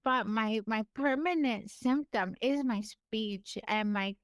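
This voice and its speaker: A woman from California with a naturally high-pitched voice and a slight lisp, talking in a casual interview, her words effortful and a little slurred, with frequent laughs. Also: slow, clean tone, no echo, clean studio sound. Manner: animated, laughs often, pushes extra hard on words. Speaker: podcast guest.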